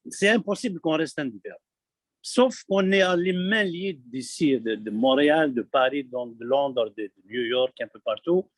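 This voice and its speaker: A man from Kabylie speaking abroad, giving an urgent, halting testimony full of repeated words. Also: medium pitch, lively intonation, dry recording, low-quality recording. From Kabylie, Algeria. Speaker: community member abroad. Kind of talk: impassioned testimony.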